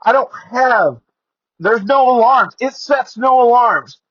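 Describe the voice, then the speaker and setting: A man hosting a podcast solo from inside a car, talking informally and haltingly and repeating words for emphasis. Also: extremely high pitch, animated, a bit bright, no room sound, highs cut off. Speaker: podcast host. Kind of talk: casual solo podcast monologue and review. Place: inside a car during rain. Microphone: headset or earphone mic.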